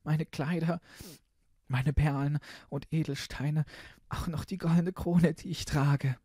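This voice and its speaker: A man's voice: fairly even intonation, slow, warm tone, husky, no room sound, studio quality. A man voicing a young, vain princess who promises eagerly in a fairy-tale audiobook, with girlish exclaiming. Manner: high-pitched girlish exclaiming.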